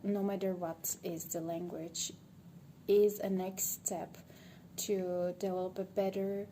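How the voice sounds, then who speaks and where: Slow, flat-voiced Turkish woman thinking aloud. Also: fairly low pitch. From Turkey. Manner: hesitant, thinking aloud with frequent pauses. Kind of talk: unscripted spoken monologue for social media. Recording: background ambient noise.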